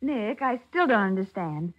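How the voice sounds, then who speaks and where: A woman's voice: mid-range voice, very animated, normal speed. A woman playing an inquisitive American assistant to a detective in a 1940s radio drama, attentive and prompting. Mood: curious, puzzled. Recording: muffled 1940s radio recording.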